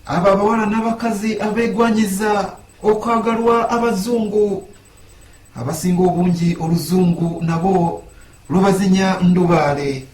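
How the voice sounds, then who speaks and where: A man hosting a countdown list, narrating it in a lively, mocking way. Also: sits high, normal speed, roomy sound. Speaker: host.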